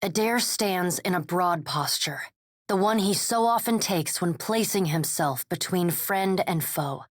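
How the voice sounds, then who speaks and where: A woman playing a solemn storyteller, reading scripted video game narration in a measured, grave, descriptive manner. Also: lowish voice, expressive, no room sound, studio quality. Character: literary female narrator, solemn, observant storyteller.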